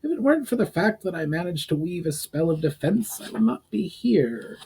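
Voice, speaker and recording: Man acting a worried old wizard, pitched high. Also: big pitch swings, normal speed, vocal fry, darkish tone, husky, full character voice. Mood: rueful. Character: wizened old male archmage, injured, worried, grave. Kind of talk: fantasy audiobook character voice.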